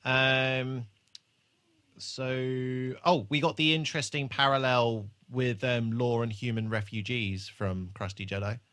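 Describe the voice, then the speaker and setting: Bright-voiced man chatting on a podcast. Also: mid-range voice, fairly even intonation, takes it slowly. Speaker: podcast host. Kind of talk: casual chat on a TV re-watch podcast.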